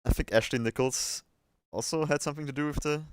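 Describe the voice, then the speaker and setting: A man co-hosting a livestream in casual chat, a bit halting and repeating words as he thinks. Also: a little high, fairly even intonation, clear, resonant tone, dry recording. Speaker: livestream co-host.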